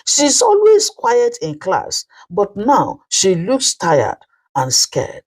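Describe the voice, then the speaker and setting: A woman's voice: mid-range voice, huge pitch swings, takes it slowly, a little mellow, husky, voice pushed up, full character voice, low-quality recording. A woman voicing a worried, observant teenage schoolgirl in a story, earnest and confiding.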